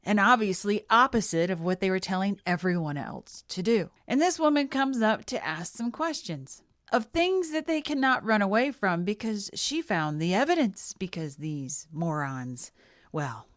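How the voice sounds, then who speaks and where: A woman's voice: mid-range voice, very animated, a little slow, dry recording, studio quality. A woman giving blunt, opinionated commentary on body language, sometimes dropping to a whisper. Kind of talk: video commentary.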